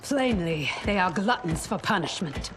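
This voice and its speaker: A woman playing a sorrowful, resolute warrior in a video game cutscene, speaking in measured, formal phrases. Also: on the low side, animated, a little hiss or hum. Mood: dry, tense.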